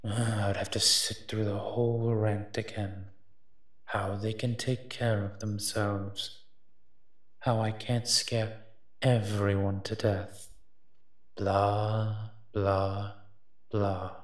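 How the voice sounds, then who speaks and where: A man's voice: on the low side, little pitch movement, slow pace, pauses often. A man voicing a sardonic, protective and menacing monster who teases and mutters asides in a roleplay audio drama. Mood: irritated muttering. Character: eight-foot male smoke monster, sardonic, menacing, protective.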